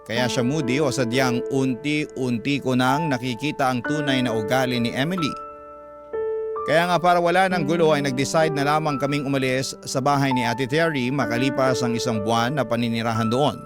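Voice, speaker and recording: A man's voice: medium pitch, normal speed. A man reading a first-person love-life story in an earnest, confiding way, like a classic radio program. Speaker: narrator. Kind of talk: dramatic first-person letter narration. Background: loud music bed.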